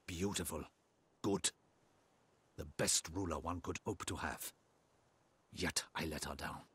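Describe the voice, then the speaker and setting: A loyal, honour-bound knight in a fantasy video game. His low voice is heavily husky and raspy, and he speaks slowly. The phrasing is formal and courtly, solemn throughout. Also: fairly bright tone, no echo, exaggerated voice. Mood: mournful.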